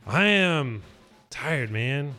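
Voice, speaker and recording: A man's voice: mid-range voice, animated, extremely slow, pushed and bright, soft background music. A man co-hosting a radio show from a studio, chatting in a playful, boastful, joking way. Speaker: radio co-host. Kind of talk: casual radio banter.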